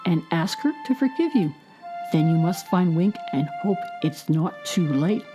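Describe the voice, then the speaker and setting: A woman voicing an unsettling, expressionless male barn owl, speaking in a low, calm commanding tone. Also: unhurried, over music.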